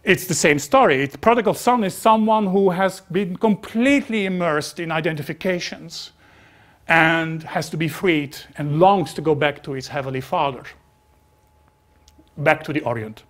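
A man's voice: a little high, moderate pace, a bit bright. A middle-aged man from Belgium with a Belgian accent, not a native English speaker, giving a reflective spiritual lecture to an audience in a small meeting room. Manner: reflective, emphatic, gesturing broadly.

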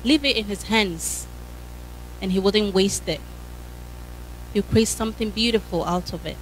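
A woman's voice: mid-range voice, unhurried, a little hiss or hum. A woman in her thirties preaching a sermon with a handheld mic in a church hall, fervent and punctuated by hallelujahs.

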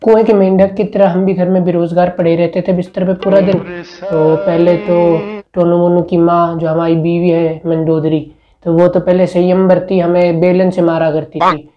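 Young man playing a loud, earnest poor husband. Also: sits high, level tone, normal speed, muffled recording, close to an everyday voice. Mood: boastful. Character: poor unemployed middle-aged husband, boastful. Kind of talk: spoof ad testimonial. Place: small indoor room.

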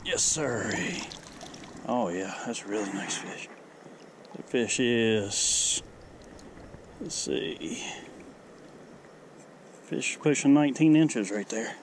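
A man's voice: slow pace, long pauses, a little hiss or hum. A man talking casually while he fishes, standing in a shallow river with a head-mounted action camera. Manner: low-key, talks to himself while reeling in fish. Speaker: angler filming his catch. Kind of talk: casual fishing commentary.

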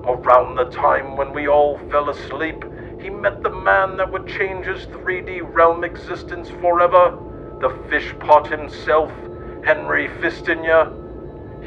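A man reading a scripted mock-history story aloud in a theatrical, mock-solemn way. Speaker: narrator. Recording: dull lo-fi sound with loud rumbling noise.

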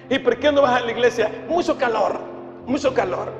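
A man's voice: very high-pitched, vocal fry, dull audio. An older man preaching an impassioned sermon as a pastor, emphatic, with rhetorical questions and repeated phrases. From Ecuador. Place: large church hall, indoors, before a congregation. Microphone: handheld microphone through PA. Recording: echoey church hall, music behind.

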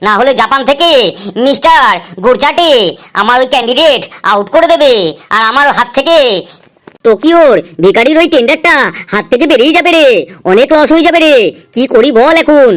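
An exasperated veteran boss of beggars for a comedy cartoon, voiced by a woman. The voice is high, with a self-important, scolding manner. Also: a little fast, a bit bright. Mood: worried.

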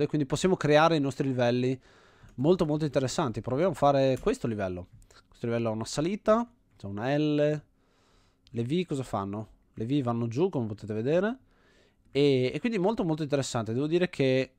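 A man's voice: mid-range voice, darkish tone, dry recording. A man hosting a gaming channel, giving casual let's play commentary, thinking aloud with enthusiasm.

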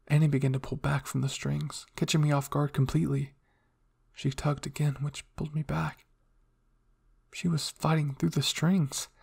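A man narrates a story in the first person with dramatic flair. His voice is slightly high and breathy-rough, with plenty of fry. He moves between hushed and intense delivery. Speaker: audio fan fiction reader.

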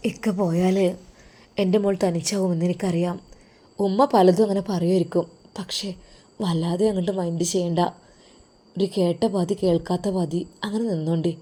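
A woman voicing a gentle young husband who speaks softly and comfortingly to his wife. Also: normal speed, pauses often, close to an everyday voice. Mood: soothing. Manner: soft, low and comforting.

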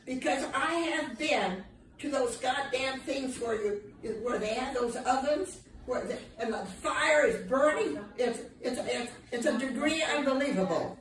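A woman's voice: high-pitched, unhurried, a little hiss or hum. A woman in her mid-nineties chatting at home, blunt and feisty, swearing freely. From United States. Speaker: grandmother filmed for family social clips. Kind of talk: casual home chat. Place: living room, indoors. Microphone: phone camera a few feet away. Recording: roomy, echoing home phone video.

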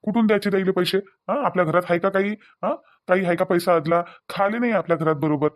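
A hot-tempered village husband, acted by a man, with a high voice and quick delivery. He scolds in repeated questions, in a rural Vidarbha Marathi accent. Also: close to an everyday voice. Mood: angry scolding. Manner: scolding, repetitive rhetorical questions. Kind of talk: amateur Marathi village family drama.

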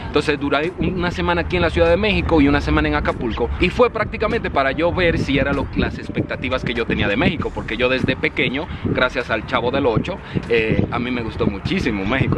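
Dominican guy w cibao accent, chatty vlogger in a park, traffic noise behind him. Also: mid-range voice. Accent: Dominican, Cibao region. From Dominican Republic. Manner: lively and chatty, often addresses viewers as "señores". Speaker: vlogger, channel host. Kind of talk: casual chat answering comments. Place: outdoors in a park by a lake.

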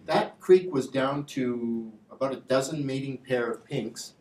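Guy giving a panel talk, kinda halting but makes his points firmly, echoey lecture room, mic is far off. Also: mid-range voice, darkish tone. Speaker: industry association representative, panel speaker. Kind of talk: public presentation at a panel discussion. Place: indoor lecture room with slide screen. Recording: echoey room, distant mic, faint noise.

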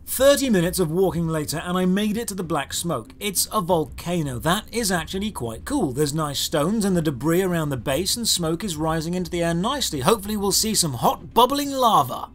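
A man's voice: high-pitched, expressive, a little fast, few pauses. A man narrating a scripted video game review in a sardonic, exasperated, emphatic way.

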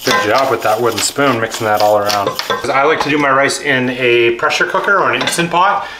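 A man in his thirties casually showing a recipe in his home kitchen, joking and gently coaching his young daughter. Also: medium pitch, a little fast, background noise. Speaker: home cook and vlogger. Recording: echoey kitchen with music behind. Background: background music and clattering pots.

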